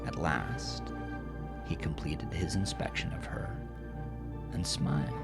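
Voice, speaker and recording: Male narrator for horror audio drama: low, unhurried, dramatic, music underneath. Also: gravelly.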